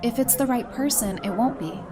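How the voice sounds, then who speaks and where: A woman's voice: fairly high pitch, a little fast, natural voice. A woman playing a playful, confident young woman with a wry, teasing manner in an audio drama. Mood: candid, warm. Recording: music and party chatter behind. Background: music and crowd chatter.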